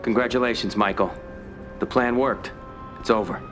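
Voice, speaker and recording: An actor playing a steady, loyal mafia man in a game cutscene, direct and plain-spoken. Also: mid-range voice, normal speed, music in the background, natural voice. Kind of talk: dramatic game cutscene acting.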